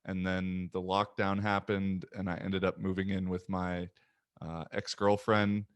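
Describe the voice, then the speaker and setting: A young man hosting a podcast, chatting casually and animatedly, with quick asides and little voice impressions in his stories. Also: sits low, little pitch movement, clear, resonant tone. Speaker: podcast host. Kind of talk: casual conversation.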